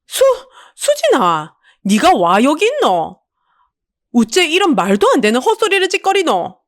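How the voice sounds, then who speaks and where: A woman's voice: sits high, wildly swooping pitch, measured pace, no echo, studio quality, voice pushed up, heavily acted. A woman voicing a betrayed, anguished street vendor with a Busan accent, protesting and wailing in an audiobook drama. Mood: shocked disbelief.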